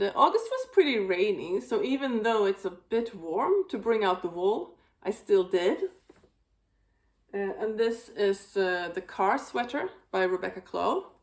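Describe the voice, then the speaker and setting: A woman hosting a knitting video, chatting casually about her projects, with a slightly raspy voice and a rambling, filler-heavy way of talking. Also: medium pitch. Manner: rambling, many fillers and restarts. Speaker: knitting podcast host.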